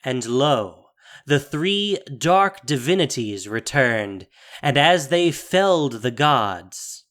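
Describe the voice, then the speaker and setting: A woman narrating a scripted fantasy story in a theatrical, measured, dramatic style. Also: a bass voice, fairly bright tone.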